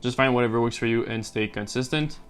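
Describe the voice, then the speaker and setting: A man's voice: medium pitch, fast talker, clear, resonant tone. Man chatting casually to camera. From French-speaking Canada. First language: French. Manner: relaxed, rambling with many uh and um fillers. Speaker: YouTuber. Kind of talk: casual talking-to-camera vlog.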